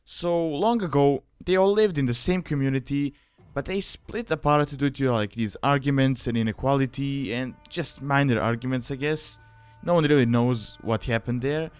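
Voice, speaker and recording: A man's voice: mid-range voice, moderate pace, darkish tone, clean tone, no room sound, soft background music. A man giving a casual explanatory voice-over as a guide narrator, in an informal, rambling way.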